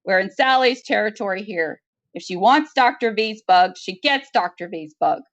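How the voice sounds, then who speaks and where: A woman's voice: medium pitch, lively intonation, moderate pace, dull audio, lightly acted. A woman playing a commanding female prison colony warden in a story reading, giving curt, bossy orders. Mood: impatient, commanding.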